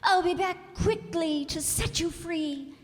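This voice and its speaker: A dreamy young carrot woman in love, acted by a woman for a radio play. The voice is very high and slow, full of tender wonder, with the ring of a hall around it. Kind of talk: stage radio drama acting. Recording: reverberant hall, stage performance.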